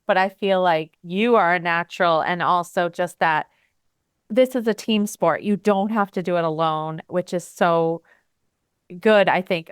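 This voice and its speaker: A woman hosting an interview, encouraging her guest with frequent warm affirmations. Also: lowish voice, clean tone, dry recording, studio quality. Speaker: podcast host.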